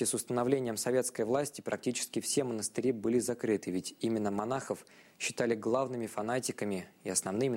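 A man with a lowish voice presents a religious television programme. He delivers scripted educational narration. His phrasing is formal, bookish and measured. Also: darkish tone.

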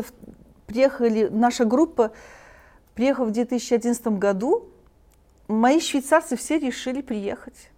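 A middle-aged woman from Switzerland, a native French speaker, talks in a soft, mellow voice. She speaks haltingly, with frequent restarts and filler sounds as she searches for words. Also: fairly high pitch. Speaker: choir leader, guest. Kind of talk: interview speech.

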